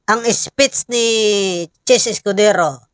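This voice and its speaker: A Filipino woman delivers informal political commentary at an unhurried pace. She pauses often and leans on rhetorical questions for emphasis. The sound is dry and close, with little room echo. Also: mid-range voice.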